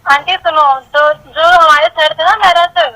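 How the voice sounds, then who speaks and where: Woman, recorded phone call, high pitch, sharp bright tone, hesitant, repetitive, informal. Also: steady tone, unhurried. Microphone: telephone.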